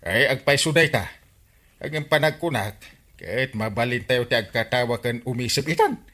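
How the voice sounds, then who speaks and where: A man playing an excitable, folksy village captain in a radio comedy-drama, with an Ilocano accent and exclamatory, hesitant interjections. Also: medium pitch, moderate pace, lightly acted. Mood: folksy advising.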